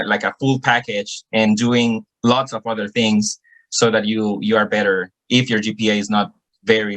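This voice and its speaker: Bright-voiced young Salvadoran man. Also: medium pitch, low-quality recording. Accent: Salvadoran Spanish. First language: Spanish. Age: young adult. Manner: earnest, repeats words while searching for phrasing. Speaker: guest student. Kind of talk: answering questions on a video call. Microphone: video call.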